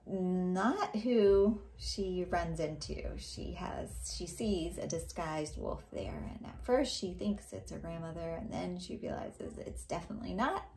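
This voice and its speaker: A woman's voice: fairly low pitch, vocal fry, a little mellow, echoey room. Woman teaching children in a read-aloud lesson. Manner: explanatory, addressing young students.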